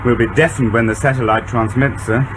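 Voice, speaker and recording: An actor as a dutiful British naval officer, noisy background. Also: male voice, steady tone, brisk, close to an everyday voice. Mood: tense, brisk. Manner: formal, crisp orders. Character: dutiful, brisk male naval watch officer. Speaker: actor in a radio drama. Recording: clear background rumble and noise.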